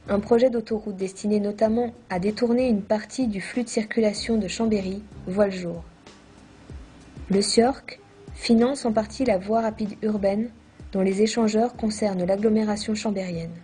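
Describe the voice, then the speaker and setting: A woman reading a scripted documentary narration in a measured, formal manner. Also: mid-range voice, dark tone.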